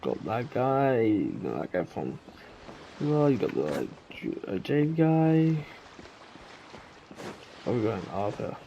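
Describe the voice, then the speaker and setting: A man's voice: mid-range voice, sing-song, takes it slowly, vocal fry, long pauses, dark tone, dull audio, slight background noise. A man giving casual, opinionated gameplay commentary as a game streamer. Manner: informal, opinionated. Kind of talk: casual gameplay commentary, reading some dialogue aloud.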